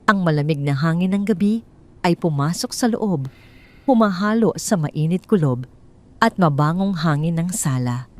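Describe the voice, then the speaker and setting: A woman's voice: on the low side, expressive, moderate pace. A woman narrating a first-person audio drama in a tense, dramatic storytelling manner.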